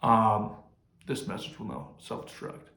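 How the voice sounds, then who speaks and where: A man's voice: fairly low pitch, level tone, measured pace, creaky, fairly bright tone. Laid-back young man hosting, echoey room. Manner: laid-back, filler-heavy, jokey. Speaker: host. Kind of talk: casual explanation and reading out a secret message. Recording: echoey room, slightly distant, faint noise.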